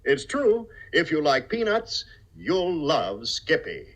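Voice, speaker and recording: A man's voice: a little high, huge pitch swings, takes it slowly, fairly bright tone. A man announcing and reading ads in 1950s radio style, emphatic and mock-serious. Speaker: announcer and pitchman. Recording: vintage radio broadcast, faint music.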